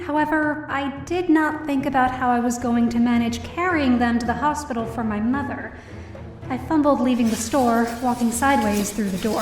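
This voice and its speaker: A woman's voice: on the high side, close to an everyday voice. An actress playing an anxious young woman who narrates her own story in an audio drama, confiding and self-deprecating. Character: anxious, downcast young woman, daughter of a hospitalized mother. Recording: roomy, distant-sounding voice over music.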